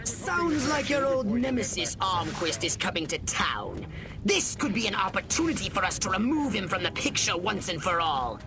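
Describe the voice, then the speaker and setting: Alien invasion commander, haughty, scornful, dry, instructive, animated pitch, game cutscene with clear music behind. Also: female voice, moderate pace. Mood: scheming. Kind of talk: video game cutscene acting.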